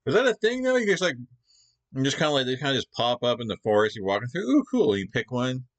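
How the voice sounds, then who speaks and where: Very animated man reacting to a video. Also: medium pitch, fast talker. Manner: amazed, chatty interjections. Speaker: reaction channel host. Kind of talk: casual reaction commentary.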